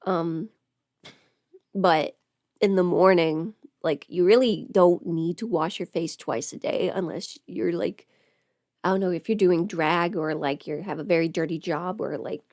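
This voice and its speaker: A woman's voice: lowish voice, measured pace, darkish tone, dry recording. Dry-sounding woman, rambling casual livestreamer. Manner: rambling, self-interrupting, thinking aloud. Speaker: livestreamer chatting with viewers. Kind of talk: casual get-ready-with-me livestream chat.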